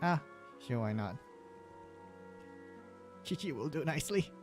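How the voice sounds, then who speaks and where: A man giving casual livestream game commentary in a halting, joking way, often repeating his words. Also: fairly high pitch, animated. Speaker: live streamer. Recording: game music behind the voice.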